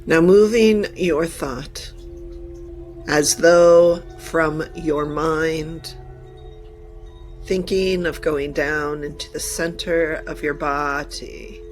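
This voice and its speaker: Female meditation guide, markedly slow and deliberate, formal phrasing broken into short pieces, soft music and chimes behind. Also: low voice. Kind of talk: guided meditation, channeled message.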